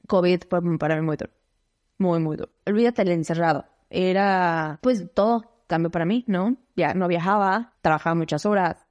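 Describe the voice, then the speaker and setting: A woman in her thirties from Mexico City with a Mexican Spanish accent, a guest talking expressively in quick bursts with lots of fillers. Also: lowish voice, darkish tone. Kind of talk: casual conversational interview. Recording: dull lo-fi recording.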